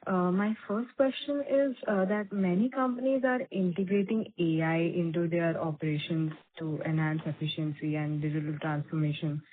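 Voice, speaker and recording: A woman's voice: lowish voice, steady tone, moderate pace, hardly any pauses. A woman with an Indian accent, speaking as an analyst asking a question on an earnings call. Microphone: phone call. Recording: tinny phone line with noise, clicks.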